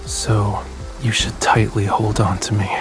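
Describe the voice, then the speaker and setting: An actor playing a young, brooding, teasing artist in a romance game, speaking softly and intimately. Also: male voice, fairly low pitch, level tone, unhurried. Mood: tender, brooding. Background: music behind the voice.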